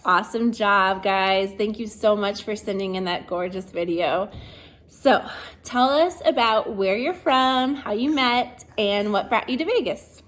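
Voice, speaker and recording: A woman's voice: medium pitch, measured pace, fairly bright tone. A woman hosting an informal show, introducing performers and asking them questions in a casual way. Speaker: show host. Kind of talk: casual spoken introduction and interview.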